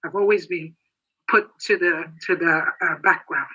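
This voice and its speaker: A man in his sixties from Nigeria giving an earnest, emphatic prepared speech as a guest, halting now and then. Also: on the high side, fairly even intonation, bright, forceful tone, husky, lo-fi sound. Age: 60s. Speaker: guest speaker.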